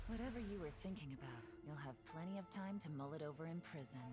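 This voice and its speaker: A woman's voice: low-pitched, expressive, fast, big-room sound, over loud music. An actress playing a cool, teasing, shrewd female investigator in a dramatic video game. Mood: dry threat. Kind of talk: dramatic English game voice acting.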